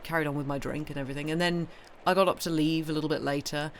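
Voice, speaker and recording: A woman's voice: low voice, fairly even intonation, fast. British female streamer, informal and playful, meandering self-interrupting chat, slight background noise. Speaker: livestream host and reader. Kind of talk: casual livestream chat.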